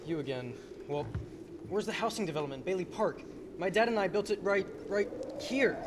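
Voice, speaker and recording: A young man playing an excitable, desperate family man in a radio play, recorded in an echoey hall. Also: sits high, moderate pace, close to an everyday voice. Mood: bewildered. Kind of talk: filmed radio play acting, high school production. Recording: echoey hall, faint noise.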